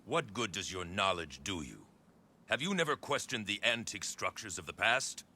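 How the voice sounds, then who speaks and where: A man's voice: fairly low pitch, lively intonation, normal speed, pushed and bright, slight background noise, full character voice. An actor playing an ambitious male warlord in a video game cutscene, speaking with authority and probing persuasion. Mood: commanding.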